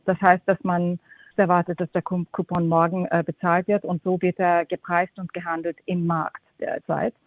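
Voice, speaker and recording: A woman's voice: on the low side, level tone, normal speed, dry recording, phone-quality sound. A woman with a slight English accent, a guest fund manager answering interview questions chattily, with many restarts and English terms. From Austria and Japan.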